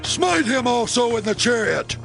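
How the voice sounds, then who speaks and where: A man's voice: extremely high pitch, big pitch swings, a little slow, gravelly, music in the background, heavily acted. An actor playing a fierce, commanding warrior king in a scripture audio drama, with imperious, declamatory delivery.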